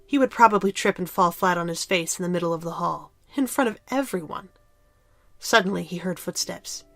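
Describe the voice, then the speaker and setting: A woman's voice: lowish voice, a little fast, gravelly, no echo, faint music behind. A woman reading scripted story narration as the narrator of an audio drama.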